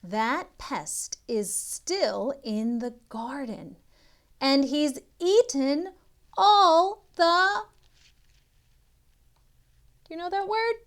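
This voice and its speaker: Lady tutor reading a kids book, high lively voice, stretches words out slowly. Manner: expressive, animated, instructional, with drawn-out words. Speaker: literacy tutor reading aloud. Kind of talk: modeled picture-book read-aloud for children.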